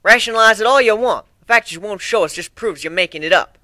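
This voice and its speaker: A man's voice: high-pitched, fast, sharp tone, no echo, pitched above the performer's own voice. A man voicing a blunt, skeptical country pony mare with folksy talk in a cartoon fan story reading. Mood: scornful.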